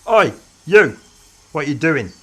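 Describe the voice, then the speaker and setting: A reader puts on a blunt, sardonic male time-traveller with a gruff, offhand delivery. His voice sits a little higher than a typical man's, with a soft hiss in the background. It suits a storybook reading. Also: expressive, a little fast. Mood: shouting. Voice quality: gruff, casual, dry.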